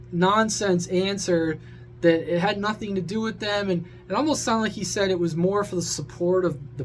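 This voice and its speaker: Guy with a pretty high voice venting to his followers, blunt and sarcastic, humming noise behind him. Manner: blunt, sarcastic, crude, exasperated. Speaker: social media creator and CB radio hobbyist. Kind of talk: casual opinionated rant to viewers.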